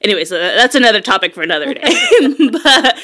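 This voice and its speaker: A young woman telling stories in a casual chat, animated, laughing often and swearing freely. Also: medium pitch, fast talker. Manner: chatty, animated storytelling with frequent laughs and swearing.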